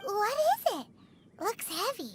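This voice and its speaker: A woman voicing a small, excitable, childlike flying fairy in a video game, exclamatory and easily indignant. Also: very high-pitched, measured pace, soft background music, exaggerated voice. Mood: curious. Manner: speaks of self in third person, exclamatory.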